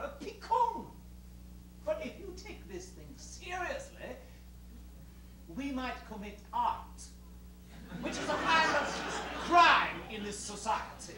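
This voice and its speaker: An actor playing an exasperated, uneasy male hotel boss, halting yet commanding, in a theatrical stage style. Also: very high-pitched, sharp tone, full character voice. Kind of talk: theatrical two-hander stage acting. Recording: distant roomy stage recording with hiss.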